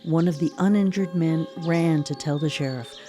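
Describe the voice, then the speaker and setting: A woman narrating a scripted radio drama in a measured storytelling manner. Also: low voice, warm tone, soft background music.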